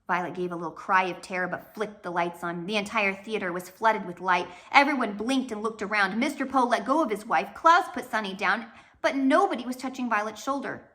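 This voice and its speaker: A woman reads a children's novel aloud in a bright, mid-pitched voice. Her storytelling is expressive and dramatic, moving between swells and hushed drops. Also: fairly quick. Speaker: storybook reader.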